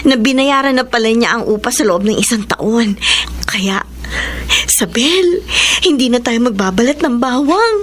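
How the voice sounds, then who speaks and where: An actress playing an excitable, warm-hearted working woman in a Filipino radio drama, chatty and exclaiming. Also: a little high, normal speed. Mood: excited. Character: Isabel's excitable, warm-hearted garlic-peeler woman friend.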